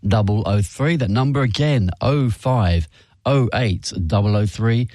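A man's voice: lowish voice, unhurried, dark tone, no echo, clean studio sound. A man co-hosting a radio fundraising drive, jovial and teasing. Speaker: radio co-host. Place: radio studio.

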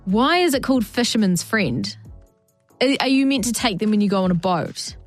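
A woman's voice: mid-range voice, expressive, normal speed, faint music behind. A woman co-hosting a radio show in casual chat, teasing her co-host with dry asides.

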